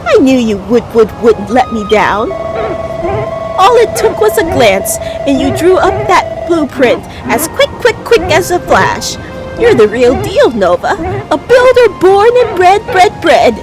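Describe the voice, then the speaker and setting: A woman's voice: very high voice, big pitch swings, takes it slowly, over loud music, a loud crowd, heavily acted, rough recording. A woman voicing a kindly old spirit guide who stutters and repeats words with grandiose cheer in a video game. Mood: grandly pleased.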